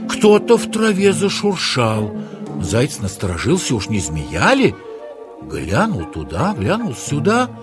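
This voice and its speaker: Guy telling a kids fairy tale, really animated up and down, music playing behind him. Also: mid-range voice, a little slow. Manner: dramatic, exclamatory. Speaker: narrator. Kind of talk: expressive children's storytelling.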